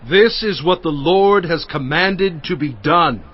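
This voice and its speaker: A higher-voiced, firm prophet leader. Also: male voice, measured pace, highs cut off. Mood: firm, solemn. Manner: solemn, firm, instructing. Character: Moses, authoritative male Hebrew leader instructing priests. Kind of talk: dramatized scripture reading.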